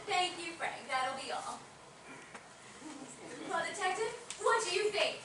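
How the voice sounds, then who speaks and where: A woman's voice: very high-pitched, animated, a little slow, distant mic. A woman playing a dramatic widowed lady of the house in a comic stage reading, theatrical and exclamatory. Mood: coy. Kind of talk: comedic stage acting. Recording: echoey, noisy stage recording from afar.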